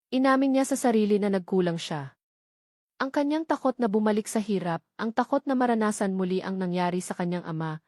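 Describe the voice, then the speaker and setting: A woman's voice: mid-range voice, darkish tone, no echo, studio quality. A woman with a Filipino accent reads a scripted romance story as a dramatic audiobook narration.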